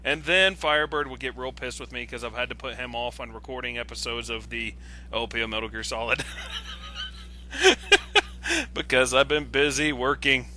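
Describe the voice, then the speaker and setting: A man ranting casually on an online voice call, exasperated and excitable, stammering and swearing when he gets worked up. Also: medium pitch, moderate pace, slight background noise. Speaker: guest commentator on an online video.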